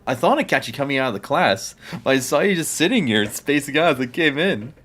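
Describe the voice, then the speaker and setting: Woman acting animated, carefree teenage boy. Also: rumbling low, fast. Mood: cheerful. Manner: earnest, teasing, expressive. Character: carefree, caring, pleading teenage schoolboy best friend. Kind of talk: anime-style audio drama acting.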